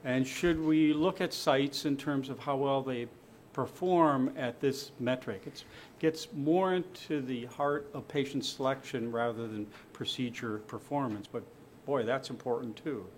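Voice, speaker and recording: A man presenting at a medical panel, talking in a halting way with many fillers and restarts. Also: medium pitch, slight background noise.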